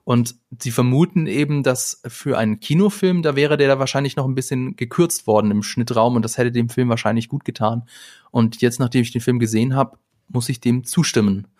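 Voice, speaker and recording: A man's voice: mid-range voice, a little fast, a little mellow, no echo, clean studio sound. A man co-hosting a podcast in casual chat, talkative and steering the talk with frequent fillers. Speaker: podcast co-host.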